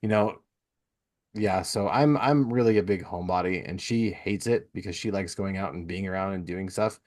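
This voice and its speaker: Male podcast host, middle-aged, low-pitched voice, loose conversational delivery, slangy and self-deprecating. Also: normal speed, a little mellow. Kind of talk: casual chat.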